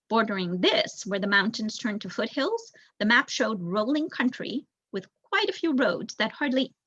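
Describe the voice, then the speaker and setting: Muffled lo-fi sound, young woman, storybook narration, bright voice, slightly raspy, expressive reader. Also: a little high, no echo. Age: young adult. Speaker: volunteer audiobook reader. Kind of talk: storybook narration read aloud.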